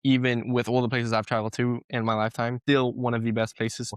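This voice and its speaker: Young South African man, smooth and even. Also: mid-range voice, fairly quick, no room sound. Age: 20s. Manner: chatty, loose, many restarts and fillers. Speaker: guest entrepreneur. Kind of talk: casual podcast interview.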